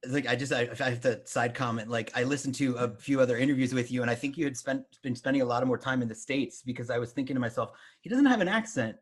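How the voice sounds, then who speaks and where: A man's voice: medium pitch, fast talker, hardly any pauses. A man hosting an interview over a video call, asking rambling, self-correcting questions. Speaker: interviewer host.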